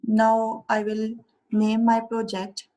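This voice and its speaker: Young Urdu-speaking woman, fairly high voice and flat delivery; walks through a software demo step by step. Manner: slow, careful step-by-step explaining. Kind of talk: screen-recorded software tutorial.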